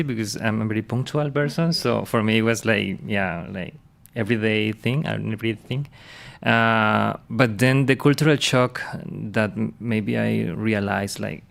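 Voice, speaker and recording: A man, a university professor chatting casually in English with many pauses and fillers. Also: on the low side, gravelly. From Colombia. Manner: hesitant, many fillers and restarts.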